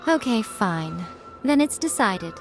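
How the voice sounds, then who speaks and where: A woman's voice: a little high, big pitch swings, slow pace. An actress voicing a sly, teasing shrine priestess in a video game, aloof, self-assured and playfully condescending, with music behind her. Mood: sly, commanding.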